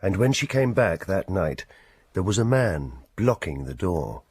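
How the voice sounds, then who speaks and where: An actor narrating a literary radio drama as a measured, grave, husky storyteller. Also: male voice, deep voice, big pitch swings, darkish tone, no room sound, clean studio sound, exaggerated voice. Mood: grave, lyrical.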